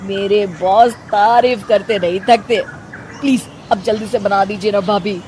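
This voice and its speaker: A woman's voice: medium pitch, fairly even intonation, unhurried, heavy background noise, close to an everyday voice. A woman voicing a childish, pampered young man in a cartoon family story. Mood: pleading.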